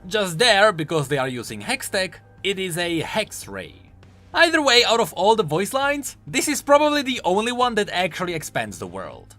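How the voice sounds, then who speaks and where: A man's voice: high-pitched, wildly swooping pitch, normal speed, bright, forceful tone. A man hosting a video, giving scripted commentary on a game character in an explainer style. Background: music.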